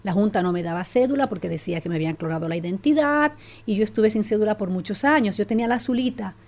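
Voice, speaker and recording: Dominican woman, middle aged, telling her story in a chat, lots of restarts, animated, with a dominican spanish accent. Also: medium pitch, quick pace. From Dominican Republic. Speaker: guest. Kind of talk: casual chat.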